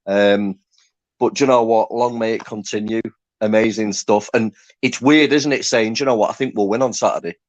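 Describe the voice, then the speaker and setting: A man's voice: on the low side, steady tone, a little fast, dry recording, muffled recording. A man from Lancaster hosting a fan forum, chatting in an enthusiastic, matey way. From Lancaster, England. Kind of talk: casual fan chat.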